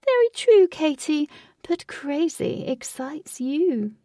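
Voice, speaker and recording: A woman voicing a crazed, taunting male master of a sinister ride in a storybook, with theatrical, drawn-out mockery. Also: sits high, sing-song, dark tone, no echo, studio quality, far from the mic, pitched above the performer's own voice, full character voice. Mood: gleefully sinister. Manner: theatrical, drawn-out mocking delivery.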